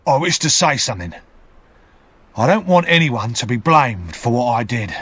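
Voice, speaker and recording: A man voicing a rough, angry escaped convict who shouts defiantly. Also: medium pitch, very animated, normal speed. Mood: grave.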